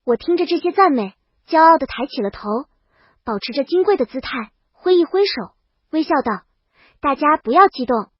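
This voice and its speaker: A woman's voice: sits high, sing-song, brisk, dry recording, dull audio. A woman reading a scripted first-person comic story as a princess, with dramatic, wry delivery. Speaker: narrator.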